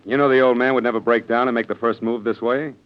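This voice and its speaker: An actor playing a defiant, suspicious, sardonic son of a rich family in a 1940s radio mystery. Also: male voice, mid-range voice, steady tone, fast talker, clean tone, lightly acted. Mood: wary, sardonic. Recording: muffled vintage radio recording.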